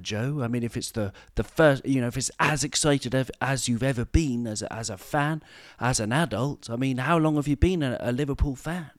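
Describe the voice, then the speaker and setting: A man's voice: medium pitch, no echo. Host in his late 30s, playful and wordy, dry sound. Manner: playful, florid, stumbling restarts. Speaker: podcast host. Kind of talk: casual podcast chat.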